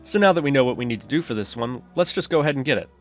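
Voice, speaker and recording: A man's voice: mid-range voice, expressive, very fast, soft background music. A man narrating a step-by-step screencast tutorial, explaining his reasoning as he goes. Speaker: programming tutorial host.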